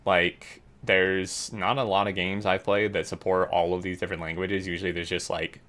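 Lowish-voiced guy chatting through a dev blog, lots of uh and like, upbeat. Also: flat delivery, moderate pace, fairly bright tone. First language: English. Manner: chatty, filler-heavy, upbeat. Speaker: gaming YouTuber. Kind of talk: casual commentary reading a dev blog.

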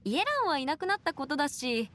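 A woman's voice: high-pitched, very animated, fast, a bit bright. A woman playing a caring, confident young woman in an anime game, with a friendly take-charge manner. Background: music.

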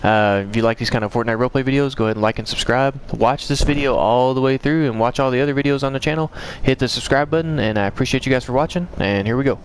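Man, casual slangy talk, game roleplay host, average pitch, noticeable background noise. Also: quick pace, few pauses. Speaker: channel host and role-player.

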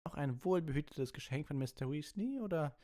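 Male reader playing sneering professor, raised pitch, sarcastic, menacing, commanding, audiobook. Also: lively intonation, brisk, mellow, close to an everyday voice. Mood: sarcastic, suspicious. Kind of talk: audiobook character reading.

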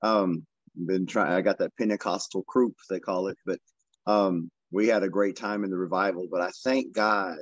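A hoarse pastor in his fifties shares memories on a video call in a reflective, unhurried way. His voice is very low and raspy. The webcam sound is dry and dull, without much room. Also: steady tone, dry recording. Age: 50s. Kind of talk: casual testimony. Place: indoor room with wood behind.

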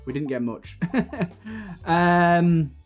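Slightly raspy man, chatty game commentary. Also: fairly high pitch, extremely slow, highs cut off. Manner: chatty, thinking aloud with many fillers. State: coming down with a cold. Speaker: gaming video host. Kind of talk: casual let's-play commentary. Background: faint game music.

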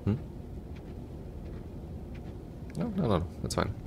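A man's voice: big pitch swings, rapid-fire, noisy background, heavily acted. A young man playing a chatty, goofy, affectionate long-distance boyfriend in a roleplay audio, talking casually in a rambling, hesitant way. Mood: tender, affectionate. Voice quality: very deep, gravelly.

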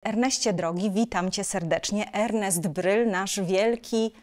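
A woman in her forties presents a cultural interview in a studio. Her voice sits at a middle pitch, and she addresses her guest as host. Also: unhurried, soft background music. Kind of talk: studio interview with scripted greeting. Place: furnished indoor TV studio.

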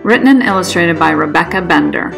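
A woman reading a children's picture book aloud as an expressive, deliberate narrator, putting dramatic emphasis on key words. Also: on the low side, gravelly. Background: music behind the voice.

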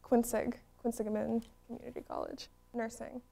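A young woman nursing student answers plainly in a studio interview. Her fairly high voice carries heavy vocal fry and a mellow tone. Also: level tone, moderate pace. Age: young adult.

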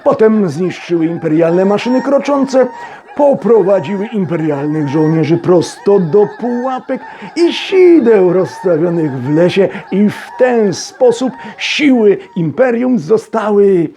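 A man reading a story as a storyteller, with expressive, theatrical phrasing and dramatic pauses. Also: high-pitched, slight background noise. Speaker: storyteller, actor reading a children's audio story. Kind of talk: dramatic scripted storybook narration.

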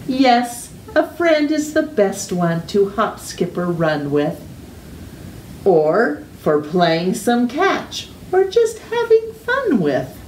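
A middle-aged woman reading a children's picture book aloud with deliberate, exaggerated storytime delivery and drawn-out words. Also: mid-range voice, huge pitch swings, darkish tone. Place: living room at home. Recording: roomy echo, distant mic, faint noise.

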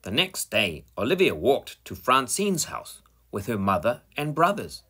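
Male storybook reader. Bright, very animated pitch. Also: husky. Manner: slow, expressive reading. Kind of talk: children's picture book read-aloud.

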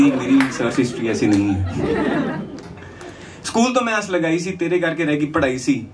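A man performs lyrical stand-up on stage, moving between rhyming verse and conversational asides. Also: on the high side. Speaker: stand-up comedian. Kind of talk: lyrical stand-up comedy, rhymed storytelling. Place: small indoor comedy venue on stage. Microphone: handheld microphone. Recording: distant, noisy live stage sound.

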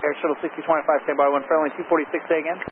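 Guy doing atc tower calls, kinda high voice, clipped and businesslike, thin radio sound. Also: level tone, racing. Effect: aircraft radio. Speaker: air traffic tower controller. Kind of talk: procedural radio phraseology. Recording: noisy narrowband air traffic radio.

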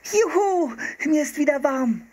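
Storybook young girl, played by a male reader: high, husky, curious, quick to delight. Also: fairly even intonation, heavily acted.

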